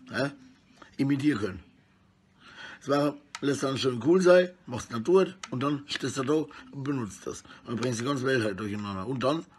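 A middle-aged man with a husky voice rambles casually and coarsely in slang, talking to his phone at arm's length indoors. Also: mid-range voice, a little hiss or hum. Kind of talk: rambling casual selfie monologue. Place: small indoor room at home.

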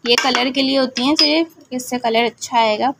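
A woman's voice: high-pitched, normal speed, very noisy. Patient woman narrating a home recipe. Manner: homely, repeats "ठीक है" and "ये देखें", explains patiently. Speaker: home cooking channel host. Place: home kitchen.

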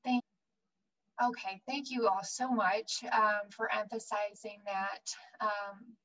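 A woman moderating a panel discussion on a video call, polite and gently encouraging, with many "um"s. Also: mid-range voice, steady tone, slow. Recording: distant from the mic.

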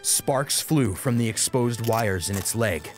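A man's voice: medium pitch, measured pace. A man reading a dramatic action story as an audiobook narrator. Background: music under the voice.

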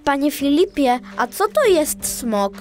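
A woman's voice: high-pitched, sing-song, unhurried, darkish tone, faint music behind. A woman playing an eager, polite young boy elephant in a children's audio play, earnest and childlike.

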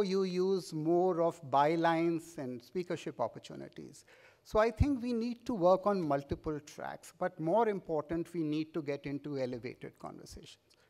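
A middle-aged man with an Indian English accent giving an urging keynote speech at a podium mic on a large conference stage, with fillers and repeated words. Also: on the high side. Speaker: keynote speaker.

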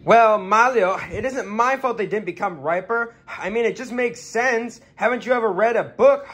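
A man's voice: high voice, moderate pace, a bit bright. A man voicing a dim, whiny adult man, a plumber's brother, who sounds naive and defensive in a comedy skit.

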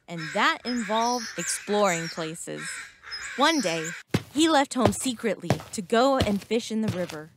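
A woman reading a scripted moral story for children in a deliberate, expressive storytelling manner. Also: mid-range voice, noisy background. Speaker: narrator.

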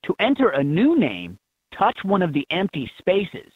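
A man's voice: sits high, huge pitch swings, normal speed, slightly rough, no echo, exaggerated voice. A man voicing an upbeat helper who gives scripted instructions in a children's educational game. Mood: upbeat, instructive. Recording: tinny, band-limited handheld game audio clips.

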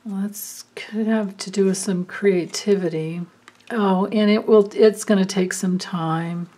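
Woman doing a card reading at a table, talks slow, mid pitch, lots of ums. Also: steady tone, warm tone. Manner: slow, musing, thinking aloud. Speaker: card reader. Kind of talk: casual card reading with pauses and fillers. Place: indoors at a table, hands and cards filmed from above.

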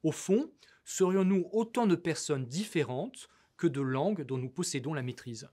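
A man's voice: fairly high pitch, expressive, mellow, breathy edge. Formal man asking a prepared question. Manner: formal, measured. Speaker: interviewer.

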